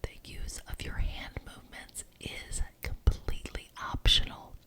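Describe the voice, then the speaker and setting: A woman playing a gracious but bossy witch who chairs a coven meeting in an ASMR roleplay, speaking deliberately and repeating words for emphasis. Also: natural voice. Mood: incantatory.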